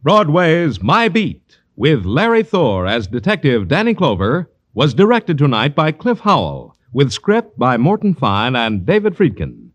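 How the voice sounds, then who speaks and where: Animated higher-pitched American radio announcer man. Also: measured pace, few pauses, no echo. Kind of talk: 1940s-50s radio announcing, scripted. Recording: old radio broadcast with music.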